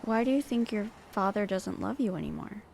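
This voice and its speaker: Lively female detective's sidekick. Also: mid-range voice, fairly quick. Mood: concerned. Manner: expressive, conversational. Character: detective's chatty, concerned female partner. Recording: clear roadside background noise.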